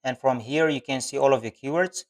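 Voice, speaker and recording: A man with a mid-pitched voice narrates an online tutorial. He explains each step in an instructional way, as a tutor talking over a screen share. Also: brisk.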